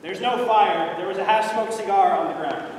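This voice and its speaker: A man in an amateur readers theater skit playing a calm, reassuring character. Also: high-pitched, moderate pace, lightly acted. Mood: authoritative. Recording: echoey hall from far off, faint noise.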